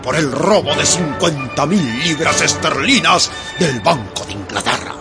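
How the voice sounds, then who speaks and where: Slow, officious police agent. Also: male voice, fairly high pitch, expressive. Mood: triumphant, official. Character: dogged, officious English police agent, stiff and self-important. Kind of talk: radio drama acting. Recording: music under the voice.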